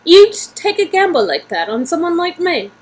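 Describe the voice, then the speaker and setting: Woman playing an aloof British agent, high-pitched. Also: big pitch swings, normal speed. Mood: guarded, disbelieving. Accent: British, put on. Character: aloof female secret agent, lonely, guarded, British.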